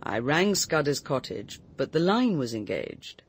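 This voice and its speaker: An actress in a radio drama playing a self-possessed woman, speaking with a brisk, precise British delivery. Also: sits low, very animated, breathy edge, full character voice. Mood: brisk, composed. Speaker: actor.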